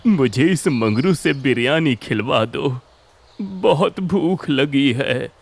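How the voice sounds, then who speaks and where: Cartoon old man: frail, humble, very slow, heavy fry. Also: mid-range voice, lively intonation, slight background noise. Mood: pleading. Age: older. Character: frail, hungry, grateful old village man.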